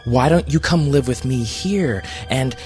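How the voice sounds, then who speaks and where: A man's voice: mid-range voice, expressive, measured pace, darkish tone, voice pushed up, natural voice. Anxious male doctor done by a man reading, hesitant and coaxing, horror storybook, music bed. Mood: nervous, coaxing. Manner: hesitant, placating, stammering. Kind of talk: horror audio storybook character.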